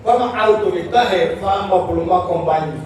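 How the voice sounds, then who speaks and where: A man paying tribute at a memorial service, speaking in short phrases with pauses for an interpreter. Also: high-pitched, noisy background. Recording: very echoey hall, far mic, audience murmur.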